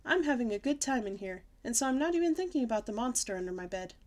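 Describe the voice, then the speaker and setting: A woman voicing an excitable, bossy little kindergarten girl with a shrill, squealing, breathless way of pleading. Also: fast talker, natural voice. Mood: shrieking. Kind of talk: audiobook character voice.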